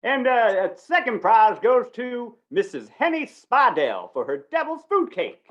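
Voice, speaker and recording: A flustered small-town fair announcer voiced by a woman in an old western radio play. The delivery is dithering and folksy, with very animated, swooping pitch. The live recording sounds slightly dull and lo-fi. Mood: folksy, official. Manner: dithering, halting, folksy, repeats words.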